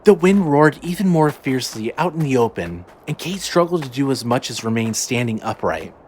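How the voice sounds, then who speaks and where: A man's voice: medium pitch, very animated, moderate pace, a little mellow. A man narrating scripted fiction dramatically as the narrator of a story. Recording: faint background noise and ambience.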